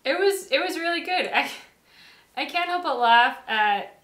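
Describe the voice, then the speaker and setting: A woman's voice: high-pitched, animated, unhurried, a bit bright. A woman in her thirties chatting casually as co-host of a reaction show. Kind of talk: casual chat. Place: small indoor set with backdrop, seated. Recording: echoey room.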